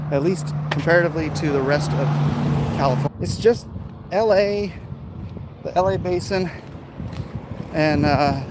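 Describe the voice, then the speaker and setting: An American man with a mid-pitched voice chats casually while walking outside. Clear traffic and street noise sits behind him. His talk rambles loosely, as if answering viewers one comment after another. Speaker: livestream host. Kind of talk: casual walking livestream chat. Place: outdoors, walking along neighborhood streets. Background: urban outdoor ambience, vehicles.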